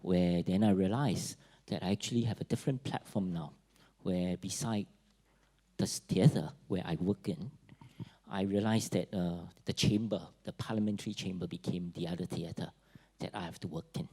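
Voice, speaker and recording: A man with a Singaporean accent giving a public talk at a forum, pausing often with fillers and restarts. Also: sits low, dark tone. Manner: halting, many fillers and restarts. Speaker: forum speaker.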